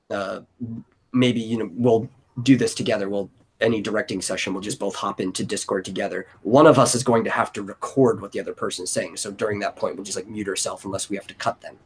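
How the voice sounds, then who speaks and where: A man with a lowish, slightly rough voice talks through ideas in a casual brainstorm. He rambles as he thinks aloud, sounding like a co-director planning with collaborators. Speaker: co-director planning an audio drama.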